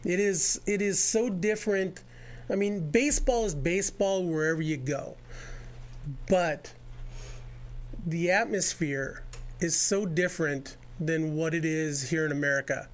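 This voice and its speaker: A man's voice: a little high, a little slow. A man hosting a radio baseball show, interviewing a guest in a chatty, rambling way with lots of fillers.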